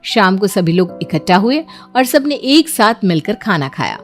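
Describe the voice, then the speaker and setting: A woman's voice: mid-range voice, big pitch swings, normal speed, faint music behind. A woman storyteller reading scripted narration for a moral bedtime story.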